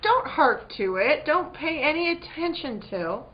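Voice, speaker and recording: Muffled, folksy country widow, played by an actress. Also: female voice, high-pitched, big pitch swings. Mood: stern. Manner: folksy, brisk, fussing rustic speech. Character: practical, scolding, protective rural widow. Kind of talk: old-time radio drama acting. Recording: muffled live stage recording.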